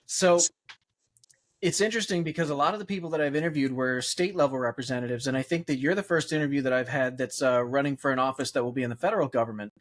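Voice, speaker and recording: Fast-talking upbeat male interviewer. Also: medium pitch. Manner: upbeat and encouraging. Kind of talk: casual interview.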